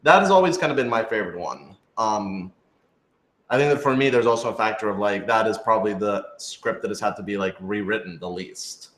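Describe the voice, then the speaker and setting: A man's voice: on the low side, animated, fairly quick, a bit bright. Informal man in an echoey room. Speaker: radio drama writer answering questions. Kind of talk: casual panel Q&A chat. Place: echoey room, live streamed panel. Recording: roomy, echoey sound.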